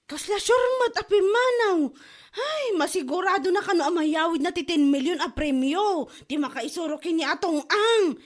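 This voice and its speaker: A woman's voice: very high voice, animated, normal speed. A woman with an Ilocano accent playing a scolding, exasperated wife in a comedy drama. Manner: nagging, scolding.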